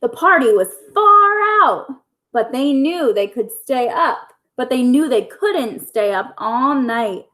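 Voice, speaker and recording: Casting: female storyteller for young schoolchildren, higher-pitched, very unhurried and deliberate picture-book reading. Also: animated, sharp tone. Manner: simple, deliberate phrasing for young children. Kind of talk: children's picture-book read-aloud.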